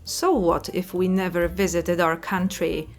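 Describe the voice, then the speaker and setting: A woman's voice: lowish voice, little pitch movement, measured pace, faint music behind. Woman reading comments with dry sarcasm. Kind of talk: reading viewer comments aloud.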